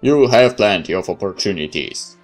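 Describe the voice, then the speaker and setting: A man's voice: lowish voice, animated, normal speed, faint music behind, lightly acted. A man voicing a stern, cold military superior in a visual novel, lecturing in a clipped, authoritative way.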